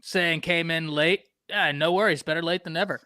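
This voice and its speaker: A man from Texas hosting a casual live-stream sports talk show, animated and talking to chat viewers by name. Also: fairly high pitch, moderate pace, clear, resonant tone, no echo, studio quality.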